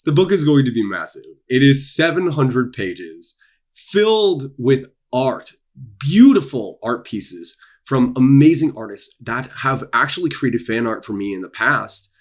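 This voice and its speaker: A young adult man with a husky voice, talking casually to his audience as an author and creator, with theatrical emphasis and dramatic pauses. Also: mid-range voice, big pitch swings. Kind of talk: casual promotional vlog, dramatic delivery. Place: small dark room indoors.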